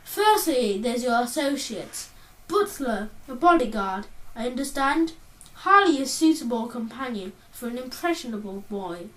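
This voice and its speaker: A woman voicing a calm, pointed adult male psychiatrist who asks measured, probing questions, in a storybook reading. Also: a little high. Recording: roomy, distant mic.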